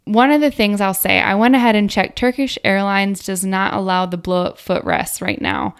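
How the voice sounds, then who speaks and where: A woman's voice: mid-range voice, animated, moderate pace, smooth. A woman in her thirties co-hosting a podcast, giving casual, conversational advice close to a tabletop microphone. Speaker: podcast co-host. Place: small indoor room at a table. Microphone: tabletop podcast microphone on stand.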